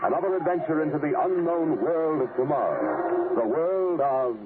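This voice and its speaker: A man's voice: on the high side, sing-song, moderate pace, background noise. A man delivering a dramatic scripted promo as a 1950s radio announcer. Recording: muffled old radio broadcast, music.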